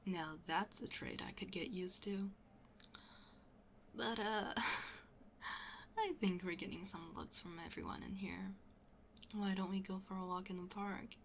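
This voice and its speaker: A woman playing a smitten young best friend in an intimate ASMR roleplay, speaking softly and hesitantly, often half-whispering. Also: mid-range voice, fairly even intonation, measured pace, gravelly, pauses often, slight background noise. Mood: playful, happy. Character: smitten young best friend, shyly confessing, playfully teasing.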